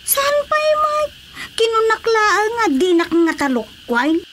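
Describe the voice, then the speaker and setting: A lovestruck young village woman with a high voice and an Ilocano accent. She is coy and teasing, in radio drama comedy style. There is faint noise behind her. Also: big pitch swings, takes it slowly, heavily acted.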